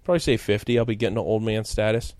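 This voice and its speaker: A man's voice: on the low side, rapid-fire, no room sound. Joking man in his twenties, dry sound. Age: 20s. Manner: joking, animated, lots of fillers. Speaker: podcast co-host. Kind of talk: casual chat.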